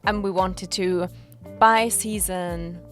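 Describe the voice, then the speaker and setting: Lower-pitched woman chatting over music. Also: steady tone, takes it slowly. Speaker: podcast co-host, executive producer. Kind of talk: casual explanatory podcast chat.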